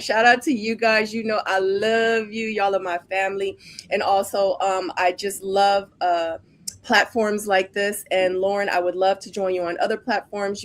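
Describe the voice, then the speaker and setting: A woman from Trinidad speaks as a guest on a video call, pouring out thanks and shout-outs. She talks slowly and with emphasis, at a mid pitch. Her tone is warm and effusive. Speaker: show guest and content creator. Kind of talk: casual chat.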